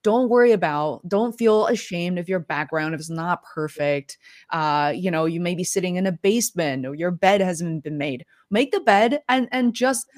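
A woman's voice: lowish voice, animated, normal speed, darkish tone, no echo, clean studio sound. A woman hosting a livestream interview over a video call, chatty and enthusiastic, with many fillers. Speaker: livestream host. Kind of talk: casual interview.

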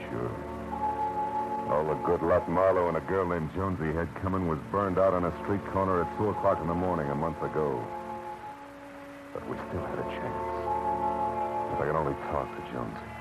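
An actor playing a world-weary American private detective, narrating in clipped, slangy 1940s radio noir style. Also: male voice, sits low, moderate pace, rough recording. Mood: grim. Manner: hard-boiled, clipped, slangy. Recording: old radio drama with music bed.

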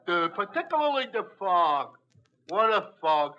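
An actor playing a dim-witted, good-natured tavern regular in a 1940s radio comedy, halting and goofy in his talk. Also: high voice, big pitch swings, sharp tone, full character voice. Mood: earnest, dopey. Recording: dull, noisy old radio broadcast.

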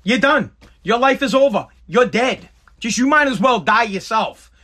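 High, bright-voiced ranting man. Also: animated, normal speed. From Boston area, New England. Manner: ranting, blunt, profane, repeats himself. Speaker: podcast host. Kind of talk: live-streamed podcast rant.

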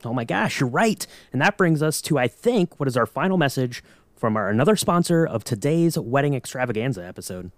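A man's voice: mid-range voice, animated, fairly quick, no echo. Playful man bantering, close dry mic. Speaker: podcast co-host. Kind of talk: casual fan banter.

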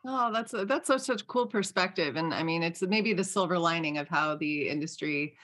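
A woman's voice: on the low side, fairly quick, a bit bright. A woman hosting a casual podcast interview in an effusive, encouraging, conversational way. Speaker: podcast host.

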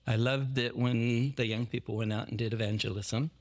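A man's voice: medium pitch, steady tone, normal speed. A man who plants churches as a missionary, telling his story in a podcast interview with many fillers and restarts.

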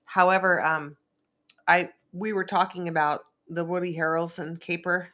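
A woman hosting a loose, chatty show, her voice on the low side. She sounds a little congested and worn from losing her voice all day. She wanders off on asides as she talks. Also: steady tone, a bit bright. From Northern California. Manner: rambling, chatty, quick asides. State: congested from a sinus rinse, losing voice. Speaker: host. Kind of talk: casual chat.

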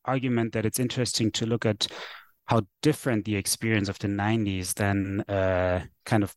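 A man's voice: fairly low pitch, flat delivery, fairly quick, dry recording. A man in his thirties from Austria, a native German speaker, answering interview questions thoughtfully with many fillers and restarts. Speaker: guest author, sociologist.

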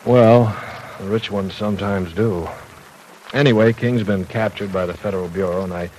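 Male actor as a knowing professor in an old radio serial; lowish voice, measured. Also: steady tone, a little mellow, slight background noise, natural voice, low-quality recording. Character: Professor Stuart Rogers, a steady older friend. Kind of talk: 1940s radio drama acting.

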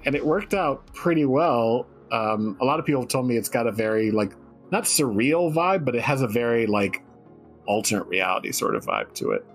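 A man's voice: mid-range voice, lively intonation, normal speed, faint music behind. A man talking casually about his own writing in a joking, self-deprecating way. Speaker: guest writer on a fiction stream.

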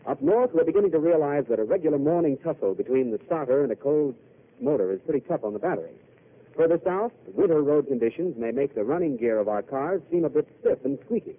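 Brief: 1930s radio pitchman, male, bouncy singsong delivery, tinny thin broadcast sound. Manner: lively salesman delivery, quick rehearsed patter. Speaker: radio announcer. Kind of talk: live radio commercial read.

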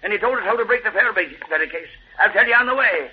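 A man playing an excitable, gruff and hearty police sergeant with slangy, blustery delivery in 1940s radio drama style. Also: high voice, fast talker, close to an everyday voice. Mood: brisk, triumphant. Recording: tinny, hissy old radio recording.

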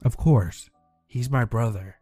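Man doing a creepy hissing monster for a storybook read, loud and dry. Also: mid-range voice, slow, dry recording, soft background music. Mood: menacing. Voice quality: hissing, snake-like. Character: thin hissing male Christmas monster, sinister.

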